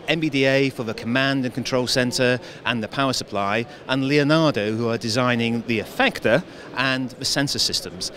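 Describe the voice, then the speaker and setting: A defense engineer explaining equipment in an interview, measured with some hesitations. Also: male voice, mid-range voice, fairly bright tone.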